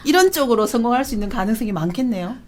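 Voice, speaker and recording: A woman's voice: on the high side, animated, rapid-fire, a crowd in the background. A woman interviewing a guest in a casual, attentive way, often giving short replies. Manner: attentive, with frequent back-channel replies.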